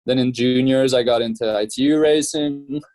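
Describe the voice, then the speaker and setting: A man in his twenties from France, chatting casually as an interview guest, relaxed and laughing often. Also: mid-range voice, moderate pace, no room sound. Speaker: professional triathlete, guest.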